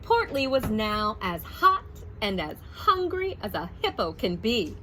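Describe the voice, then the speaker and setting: A woman teacher reads a picture book aloud to young children, taking her time with each line. Her pitch sits a little high and swings widely as she tells the story. A faint hum of room noise stays underneath. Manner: slow, expressive storytelling voice. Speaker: first grade teacher.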